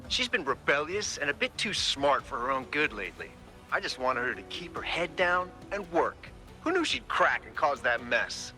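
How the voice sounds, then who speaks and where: A man's voice: a little high, very animated, moderate pace, pushed and bright, faint music behind, heavily acted. An actor playing a callous male workshop boss, heard as a played-back recording. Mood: dismissive.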